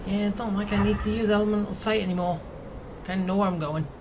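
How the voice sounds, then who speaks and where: A man's voice: high-pitched, fairly even intonation, fast, background noise. A man giving casual let's-play commentary, muttering to himself as he plays. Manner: muttering to self while playing. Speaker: gamer, let's-play host. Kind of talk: casual live gameplay commentary.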